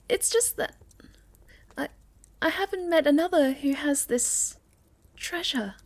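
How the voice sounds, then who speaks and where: A woman playing a sweet, shy, gentle moth-girl monster in a soft, halting ASMR roleplay, often slipping toward a whisper. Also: high voice. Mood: flustered, apologetic.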